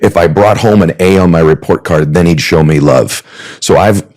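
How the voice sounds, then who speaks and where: A deep-voiced businessman telling a story in conversation, building to loud, emphatic peaks. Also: normal speed, no echo. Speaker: podcast guest.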